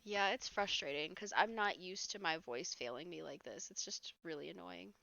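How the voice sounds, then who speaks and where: A young woman with a hoarse voice, chatting casually with her live audience while she plays a game, often laughing and reading comments aloud. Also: lowish voice, moderate pace, sharp tone, muffled recording. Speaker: live streamer. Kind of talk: casual chat while gaming.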